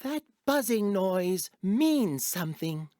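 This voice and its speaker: A woman voicing a naive, honey-loving toy bear in a children's audio drama, musing in a slow, childlike way. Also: mid-range voice, very animated, dark tone, no echo, studio quality.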